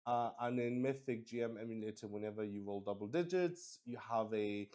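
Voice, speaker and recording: A man improvising game narration for a solo tabletop roleplay stream, musing out loud in a halting way. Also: lowish voice. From Luxembourg.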